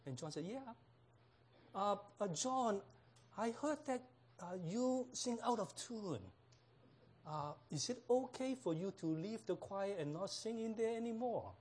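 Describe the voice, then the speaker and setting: High-voiced slow-talking pastor from Hong Kong. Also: male voice, lively intonation, a little mellow, slightly rough. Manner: unhurried, laughs at own jokes, frequent fillers. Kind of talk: sermon with humorous stories.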